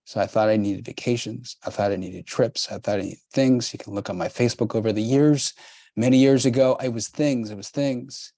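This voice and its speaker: A man's voice: medium pitch, steady tone, fairly quick, dark tone, no echo. Middle-aged guy coaching a workshop, pushy repeating motivational talk, dry close sound. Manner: emphatic, repetitive, urging.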